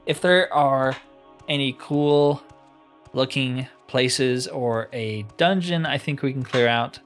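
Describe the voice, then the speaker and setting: A man's voice: medium pitch, slow, soft background music. A man giving casual gameplay commentary on a fantasy roleplay game, musing aloud in a wry, conversational way.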